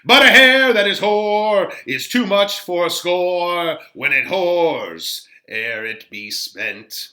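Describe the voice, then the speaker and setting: A man playing a crude, teasing young gentleman in a dramatic Shakespeare reading, declaiming theatrically with a drawn-out, mocking singsong. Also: high voice, expressive, voice pushed up, exaggerated voice. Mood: mocking, boisterous.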